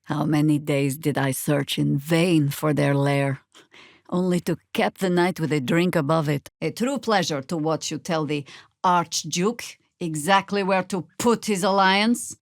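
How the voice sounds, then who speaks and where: A woman playing a wry, battle-worn middle-aged druid in a video game, with sardonic, world-weary, deliberate phrasing. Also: deep voice, no echo, clean studio sound. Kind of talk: video game character acting.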